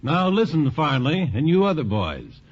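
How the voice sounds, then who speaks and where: An actor playing a bossy, irascible volunteer fire chief with a folksy manner and husky voice in a 1940s radio drama. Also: male voice, a little high, wildly swooping pitch, moderate pace. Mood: scheming, bossy. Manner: folksy, commanding. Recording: dull vintage 1940s radio recording.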